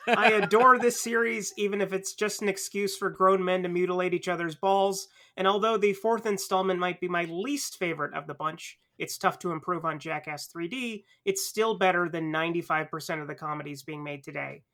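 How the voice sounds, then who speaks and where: A man's voice: high-pitched, brisk, hardly any pauses, bright, forceful tone. A man on a podcast panel giving a prepared review in a conversational tone.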